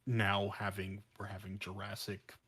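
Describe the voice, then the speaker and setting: A man with a bright, somewhat low voice talks as a podcast co-host. His delivery is casual and rambling, circling back over words as he works out his thought. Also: completely flat delivery, a little slow, breathy edge.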